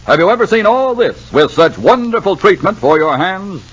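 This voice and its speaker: Higher-pitched, animated male ad announcer. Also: normal speed, husky, rough recording. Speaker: commercial announcer. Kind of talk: 1950s radio ad pitch, emphatic slogans.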